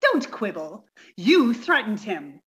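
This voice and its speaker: A woman's voice: medium pitch, sing-song, takes it slowly. A woman playing an anxious, scheming adulterous wife in an old-time radio comedy mystery. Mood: urgent, scheming.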